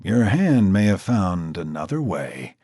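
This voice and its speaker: A grim, blunt male cop, as a narrator voices him, speaks in short, terse lines. The voice is husky and close to the narrator's own. It is recorded close and dry. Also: sits low, big pitch swings, a little slow, mellow, no echo, heavily acted.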